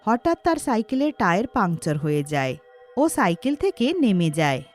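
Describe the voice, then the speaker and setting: A woman's voice: medium pitch, sing-song, moderate pace, darkish tone, soft background music. A woman narrating a scripted cartoon ghost story.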